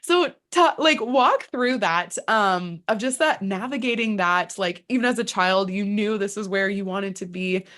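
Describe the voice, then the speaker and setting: A woman's voice: medium pitch, unhurried, few pauses, fairly bright tone. A woman hosting a podcast interview, effusive and gushing as she introduces her guest and asks questions.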